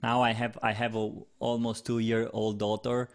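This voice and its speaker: A man's voice: medium pitch, little pitch movement, moderate pace. A young man, a native Romanian speaker, answering interview questions in English with many restarts and fillers. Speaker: podcast guest, content manager.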